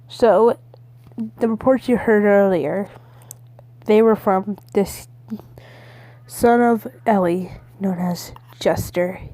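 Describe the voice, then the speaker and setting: A woman's voice: mid-range voice, mellow, no room sound, natural voice. A woman playing a stammering, frightened weather service spokesperson in an amateur emergency broadcast roleplay. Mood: solemn, official. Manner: stammering, halting. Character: shivering, terrified National Weather Service spokesperson, pleading.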